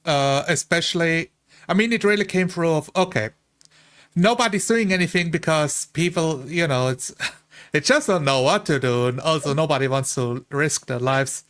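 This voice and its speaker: A man with a fairly high voice, talking as one of the players in a tabletop game. His delivery is informal, conversational chat among a group. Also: normal speed, a bit bright. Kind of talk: casual chat.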